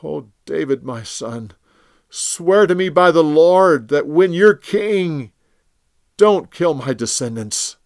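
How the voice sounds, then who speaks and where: A man's voice: fairly high pitch, animated, takes it slowly, no room sound, clean studio sound, voice pushed up. A man voicing a remorseful older king, speaking tearfully and imploringly.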